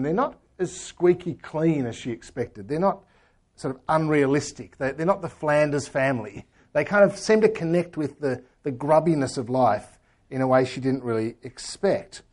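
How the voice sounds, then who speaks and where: A man giving an earnest church talk, hoarse today and often trailing off quietly at the ends of sentences. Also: mid-range voice. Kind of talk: talk with book reading.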